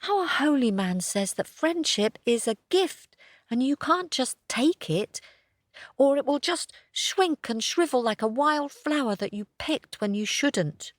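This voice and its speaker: A woman voicing Pip, a kind young female dog, talking earnestly and gently. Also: high voice, animated, unhurried, no echo, clean studio sound, voice pushed up.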